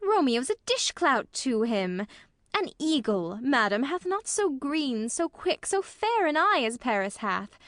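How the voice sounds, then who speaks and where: A woman's voice: sits high, sing-song, a little slow, fairly bright tone, dry recording, clean studio sound, exaggerated voice. A woman playing a chatty, practical nursemaid in a Shakespearean dramatic reading, gossipy and persuasive. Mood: coaxing, gossipy. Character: chatty, practical nursemaid coaxing her young mistress.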